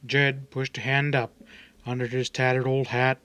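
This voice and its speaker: A man's voice: mid-range voice, flat delivery, moderate pace, pushed and bright, clean tone. A man reading scripted story narration as an audiobook narrator.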